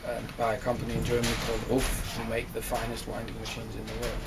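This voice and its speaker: A man's voice: fairly low pitch, completely flat delivery, fast, heavy background noise. An older man giving a factory tour, rambling with frequent restarts, recorded on a camera mic in a large warehouse. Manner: rambling, restarts, hands gesturing while talking. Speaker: audio company founder. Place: large warehouse with shelving, indoors.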